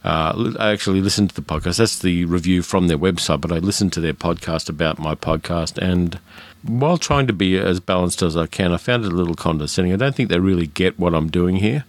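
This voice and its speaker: A man's voice: sits low, fairly quick, dry recording. A man with an educated Australian accent, hosting his own film podcast in a frank, chatty way with fillers and restarts. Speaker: podcast host. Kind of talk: casual solo podcast monologue.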